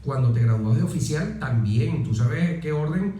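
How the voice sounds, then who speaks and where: Interview guest: Venezuelan man, middle-aged military officer, mellow tone, emphatic storyteller, heavy room echo. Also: fairly high pitch, expressive, normal speed. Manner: emphatic repetition, rhetorical questions. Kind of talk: conversational anecdotal interview. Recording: very echoey, reverberant room.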